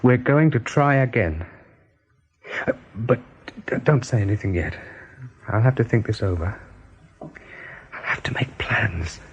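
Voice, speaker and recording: Very husky rabbit chief. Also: male voice, fairly low pitch, expressive, highs cut off, heavily acted. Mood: brooding, resolved. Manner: earnest, commanding, deliberate persuasion. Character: determined male rabbit chief, earnest, persuasive leader. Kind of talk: radio play acting.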